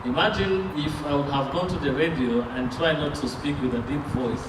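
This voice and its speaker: A man interpreting a sermon line by line, halting, with fillers and restarts. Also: a little high, far from the mic. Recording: echoey room, picked up from afar, noisy.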